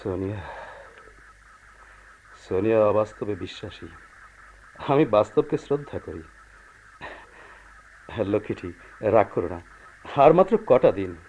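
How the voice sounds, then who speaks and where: Calm, mellow husband, lively inflection. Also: male voice, on the low side. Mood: soothing. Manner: earnest, placating, measured. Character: calm, pragmatic husband placating his unhappy wife. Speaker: radio drama actor. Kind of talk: Bengali radio play acting. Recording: dull, noisy old radio drama recording.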